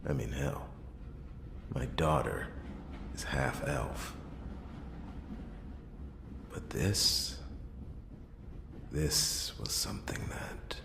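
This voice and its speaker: A man playing a weary hardboiled detective, narrating a noir ASMR roleplay in a hushed, breathy, halting, brooding half-whisper. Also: a bass voice, background noise, exaggerated voice. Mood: wry, disbelieving. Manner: hushed, halting, brooding delivery with long pauses. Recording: roomy echo under clear music.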